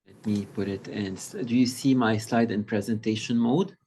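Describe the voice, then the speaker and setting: Middle-aged man from Lebanon, a pediatric specialist giving an easygoing talk on a video call, lowish voice, measured and thoughtful with frequent pauses. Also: steady tone, dark tone, a little hiss or hum. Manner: measured, conversational, pauses often to find words. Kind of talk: informal presentation.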